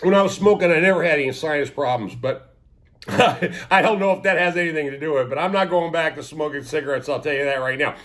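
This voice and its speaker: A man's voice: fairly high pitch, quick pace, fairly bright tone. A man in his sixties with a sniffly, stuffed-up nose, rambling casually to his viewers with many fillers and restarts. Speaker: vlogger. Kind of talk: casual talk to camera.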